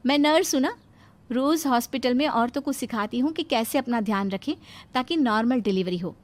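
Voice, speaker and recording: High-voiced, headstrong young nurse played by a woman. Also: a little fast, natural voice. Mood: confident, reassuring. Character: headstrong, confident young pregnant nurse, a daughter-in-law. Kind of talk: Hindi cartoon moral-story dubbing.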